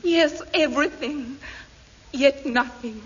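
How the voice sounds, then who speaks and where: An actress playing a resolute, tragic wife with theatrical delivery in a melodrama within a 1950s British radio comedy. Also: female voice, high voice, slow pace. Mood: dramatic. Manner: theatrical, breaking off dramatically. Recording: muffled old radio, faint hiss.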